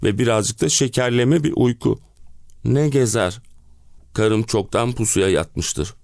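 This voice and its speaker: A man's voice: mid-range voice, a little slow, vocal fry, a little mellow, no room sound, studio quality, voice pushed up. A male actor playing an exasperated, self-pitying middle-aged husband in a theatrical monologue, with melodramatic, comic complaining. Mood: weary sarcasm. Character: exasperated, hen-pecked middle-aged husband ranting about summer-house misery. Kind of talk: theatrical one-man play monologue.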